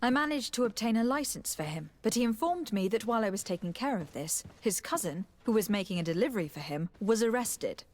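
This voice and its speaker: A woman's voice: mid-range voice, expressive, fairly quick, hardly any pauses, a little mellow, close to an everyday voice. A woman playing a composed, courteous noble diplomat in a video game, speaking politely and formally. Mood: composed, concerned. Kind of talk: video game dialogue acting.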